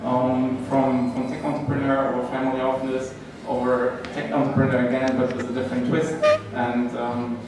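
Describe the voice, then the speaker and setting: A man hosts a live panel, stumbling through his lines and filling pauses as he goes. He is caught from across the room, and the walls throw his voice back. A steady background noise sits under him. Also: mid-range voice. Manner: halting, many ums and restarts. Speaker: panel moderator. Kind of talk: hesitant panel hosting. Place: event room with audience. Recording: echoey room, distant mic, background noise.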